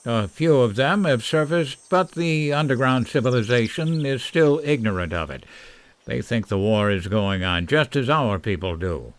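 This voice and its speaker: A man performs a hopeful professor in a radio play, with a middle-pitched, lively voice and faint noise behind it. He is earnest and peace-minded in all he says. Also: moderate pace, natural voice. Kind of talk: old-time radio science fiction acting.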